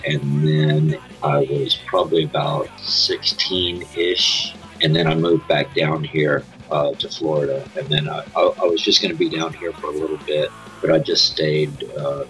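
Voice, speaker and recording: A man from Kansas with a strikingly low voice and a bright, forceful edge, chatting in an easygoing, meandering way. He restarts his sentences and swears offhandedly, with faint music playing behind him. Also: flat delivery, normal speed, few pauses, rough recording. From Kansas, USA. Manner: laid-back and rambling, with restarts and casual swearing. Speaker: band member being interviewed. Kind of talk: casual interview chat.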